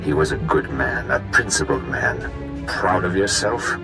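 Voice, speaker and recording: A menacing game villain bent on revenge. His extremely deep, creaky voice moves slowly through measured, grandiose threats, with music clearly behind him. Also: lively intonation, exaggerated voice. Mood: bitter, threatening. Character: menacing vengeful villain, bitter fallen master. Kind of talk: dramatic video game villain acting. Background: clear background music.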